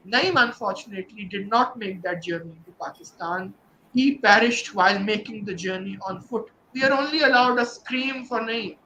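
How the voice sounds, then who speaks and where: A man's voice: very high voice, pushed and bright, a little hiss or hum, low-quality recording. A man reading novel excerpts aloud and then discussing them thoughtfully, with many fillers and restarts, on a virtual event call. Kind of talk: dramatic reading and literary discussion.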